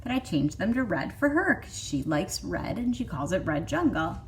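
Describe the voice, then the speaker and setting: A woman's voice: medium pitch, big pitch swings, normal speed, slight background noise, rough recording. Chatty woman with a Michigan accent. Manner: chatty, rambling, many fillers. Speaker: vlog host. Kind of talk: casual chat.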